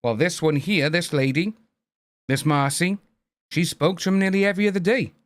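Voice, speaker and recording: A man's voice: a little high, moderate pace, fairly bright tone, dry recording. Chatty man reacting to a video. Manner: sympathetic asides, rambling. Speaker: reaction streamer. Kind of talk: casual live commentary.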